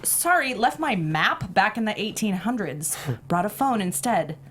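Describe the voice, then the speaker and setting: A sixteen-year-old runaway girl with attitude, read by a woman at a table read. She is snappy, defiant and sarcastic, at a medium pitch, with clear background noise. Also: very animated, measured pace, a bit bright.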